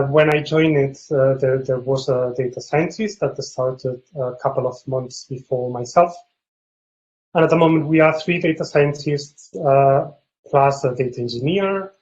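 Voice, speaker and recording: Male data science lead on a panel, medium pitch, explaining his work professionally, audio a little muffled. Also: darkish tone. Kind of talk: professional self-introduction on a panel.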